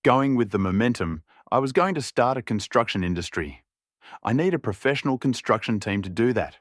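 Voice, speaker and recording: A man's voice: medium pitch, lively intonation, fairly quick, no room sound, clean studio sound. A man reading a scripted story as an audiobook narrator.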